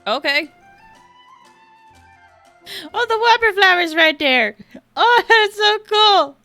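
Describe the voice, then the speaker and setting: A woman's voice: very high voice, expressive, takes it slowly, bright, forceful tone, soft background music. A woman streaming a video game, giving casual, playful, reactive commentary.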